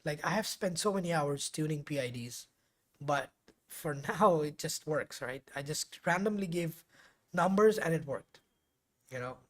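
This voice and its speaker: A man's voice: on the high side, animated, a little slow. A man whose first language is Urdu, hosting a live coding stream and talking casually and chattily to viewers, with frequent fillers and restarts. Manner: chatty and rambling, with frequent fillers and self-corrections.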